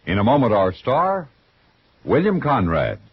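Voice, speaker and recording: A man's voice: medium pitch, wildly swooping pitch, measured pace, heavy background noise. A man announcing a 1950s radio program in a formal scripted style. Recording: muffled old radio broadcast. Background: loud music.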